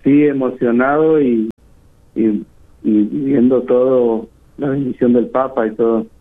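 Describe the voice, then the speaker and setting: A middle-aged man with a northern Argentine accent, giving halting testimony as a guest caller over the phone. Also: medium pitch, fairly even intonation. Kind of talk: personal testimony in an interview. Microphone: phone call. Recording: tinny phone line, muffled and rough.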